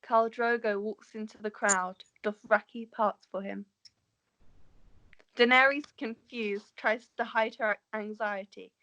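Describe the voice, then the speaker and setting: Woman, higher pitch, scripted fantasy narration, amateur table read, stumbles now and then. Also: fairly even intonation, slow pace, sharp tone, dull audio. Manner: stumbles over written words. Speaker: stage directions reader.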